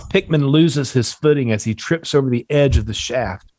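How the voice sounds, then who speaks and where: A man's voice: mid-range voice, moderate pace, darkish tone, no echo. A man reading stage directions from a horror screenplay as scripted narration.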